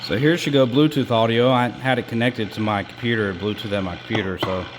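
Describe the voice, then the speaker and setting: A man giving a casual, halting hands-on demonstration as host of a repair channel, rambling as he explains. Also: mid-range voice, fairly even intonation, loud music behind the voice. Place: indoors at home.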